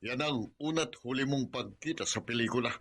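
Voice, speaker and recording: A man's voice: medium pitch, a little fast, a bit bright. A man with a Filipino accent playing a bitter, unforgiving middle-aged father in a radio drama, harsh and scornful.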